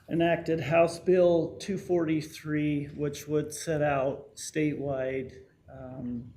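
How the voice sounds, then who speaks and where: A man, an assistant fire chief, making a formal presentation to a city council and answering questions in a deliberate way. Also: a little high, gravelly, slight background noise. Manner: deliberate, reads statute aloud, self-conscious about loud voice.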